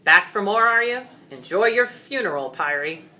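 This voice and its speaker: A woman voicing a cold, menacing male dragon in a storybook, mocking and grandiose as it taunts in drawn-out phrases. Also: medium pitch, expressive, bright, forceful tone, pitched above the performer's own voice, poor audio. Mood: mocking menace.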